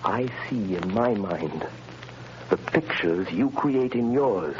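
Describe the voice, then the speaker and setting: A man playing a mysterious invisible crime fighter, speaking in measured, authoritative commands in 1930s radio drama style. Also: fairly low pitch, lively intonation, dull audio. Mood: commanding. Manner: measured, hypnotic, authoritative instructions. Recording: scratchy, hissy 1930s radio broadcast.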